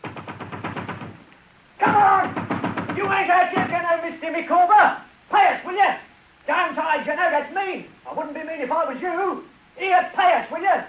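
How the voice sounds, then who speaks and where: An actor playing a rough London creditor in a radio drama, shouting and badgering for money, in a dull, echoey recording. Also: male voice, extremely high pitch, a little slow, a little hiss or hum. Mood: angry, demanding. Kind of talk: 1950s radio drama acting.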